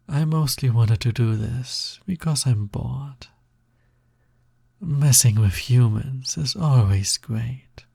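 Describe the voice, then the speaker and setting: A man playing a sly, smug, possessive naga roommate in an intimate audio roleplay, with a breathy, gravelly voice and a teasing drawl that often drops toward a whisper. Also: medium pitch, dark tone, dry recording, clean studio sound, full character voice. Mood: smug, flirtatious. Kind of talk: intimate scripted audio roleplay, close ASMR-like delivery.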